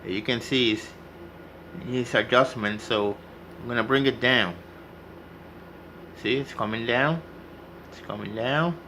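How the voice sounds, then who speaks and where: A man's voice: medium pitch, a little slow, pauses often, bright, forceful tone, a little hiss or hum, low-quality recording. A man giving a casual, unscripted hands-on tutorial, explaining step by step and repeating himself as he goes. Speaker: hobbyist demonstrating CB radio repair.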